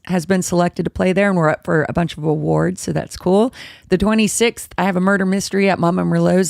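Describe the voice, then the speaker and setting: A woman hosting her own casual podcast, a little hoarse, chatty and rambling as she interrupts herself. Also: darkish tone, dry recording, studio quality. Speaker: podcast host. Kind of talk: casual solo podcast chat, live-streamed. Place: home room with dogs. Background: dogs and a squeaky toy at times.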